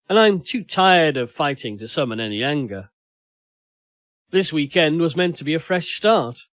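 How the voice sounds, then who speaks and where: A man's voice: fairly high pitch, no room sound, studio quality. A man narrating first-person fiction as a dramatic audiobook reading.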